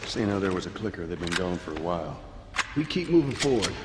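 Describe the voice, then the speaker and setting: A man's voice: deep voice, big pitch swings, fast, roomy sound, in a large hall, very noisy. A male actor playing a grim, watchful, weary survivor in a video game cutscene, speaking in a terse, subdued way. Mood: grim resolve.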